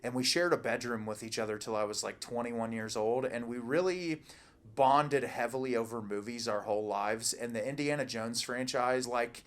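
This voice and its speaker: Casual man with a medium-pitched voice. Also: normal speed. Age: 30s or older. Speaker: podcast co-host. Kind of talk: casual film discussion.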